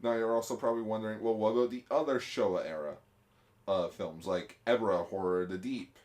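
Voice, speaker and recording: Low-voiced man chatting casually. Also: fairly even intonation, quick pace, a little mellow. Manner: chatty, rambling, uses fillers. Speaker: podcast co-host. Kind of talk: casual chat about films.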